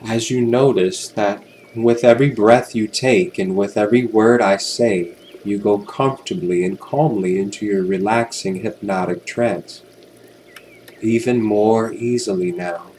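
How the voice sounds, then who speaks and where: A man with a fairly low voice guides a hypnosis session. He speaks very slowly and soothingly, leaving long pauses between gentle phrases. A steady wash of watery ambient noise runs behind him. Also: low-quality recording. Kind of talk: guided hypnosis relaxation narration.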